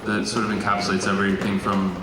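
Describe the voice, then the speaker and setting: A man introduces the next author at a literary event, hesitating often. His low voice stays very even in pitch and sounds far from the microphone, swimming in a large, echoing hall with a steady background hiss. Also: quick pace. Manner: hesitant, full of ums. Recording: distant echoey hall with noise.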